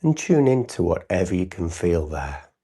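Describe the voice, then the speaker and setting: A man leading a live guided meditation, speaking in short unscripted phrases with long pauses and a soothing, instructing manner. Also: deep voice, sing-song, mellow, breathy edge. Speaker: meditation teacher hosting a livestream.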